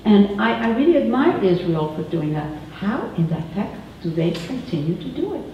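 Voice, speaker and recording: A woman's voice: mid-range voice, normal speed, slight background noise. An older woman from Egypt asking a question from the audience, rambling and conversational. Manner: rambling, conversational, quotes others in lively voices. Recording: echoey room, distant, dull and lo-fi.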